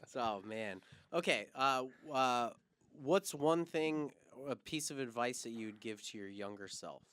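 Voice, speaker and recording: A man's voice: medium pitch, measured pace, clear, resonant tone. Man telling a story on a podcast. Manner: storytelling, animated when retelling. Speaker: podcast host. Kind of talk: casual chat.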